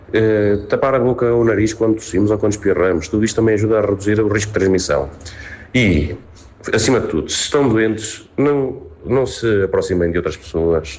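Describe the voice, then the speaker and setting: A man with a European Portuguese accent speaks in a fairly low voice. He hosts an explanatory advice talk, setting out questions and answering them himself. His manner is didactic, with informal asides to the audience. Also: darkish tone. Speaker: host of a health advice segment.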